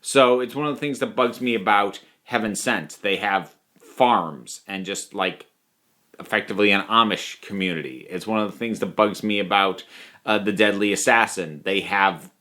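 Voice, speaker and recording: A man's voice: fairly low pitch, normal speed, pushed and bright. A man delivering a conversational, opinionated review monologue, thinking aloud with emphatic points. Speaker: reviewer of audio dramas.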